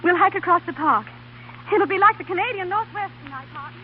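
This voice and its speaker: An actress playing a devoted, plucky, anxious young wife in an old-time radio drama, with a folksy, coaxing, fretful manner. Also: female voice, very high-pitched, brisk. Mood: coaxing, encouraging. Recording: tinny, noisy vintage radio recording.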